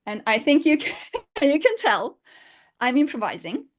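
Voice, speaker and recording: A woman's voice: high-pitched, big pitch swings, moderate pace. A woman teaching an unscripted online lesson in English, her fourth language, explaining emphatically with rhetorical questions. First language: not English.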